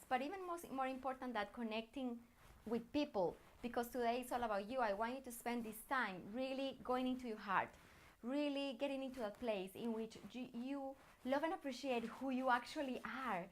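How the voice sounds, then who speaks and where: Colombian lady, spanish native speaker with accent, highish voice, earnest motivational coach talking right to you. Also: a little hiss or hum. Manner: encouraging, earnest, addressing the listener directly. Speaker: personal branding coach. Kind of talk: motivational coaching talk to viewers.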